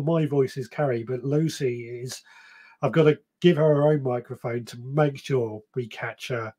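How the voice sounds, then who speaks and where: A man's voice: on the high side, a little mellow. Rambling man hosting a live stream. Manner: rambling, self-correcting, reads comments aloud. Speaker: podcast host. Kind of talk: live-stream chat reading comments. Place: small shed or room, indoors.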